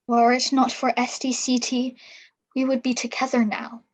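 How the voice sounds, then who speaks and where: A woman's voice: on the high side, monotone, moderate pace, natural voice. A woman playing a distraught young daughter in a staged play reading, imploring and defiant with raw emotion. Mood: hurt, bewildered.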